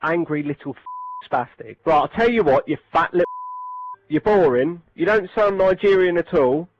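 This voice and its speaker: Raging gamer, foul-mouthed insult tirade, slightly high voice, dull lo-fi audio. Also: male voice, expressive, normal speed. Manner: insulting, swearing, aggressive. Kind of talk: profane angry rant.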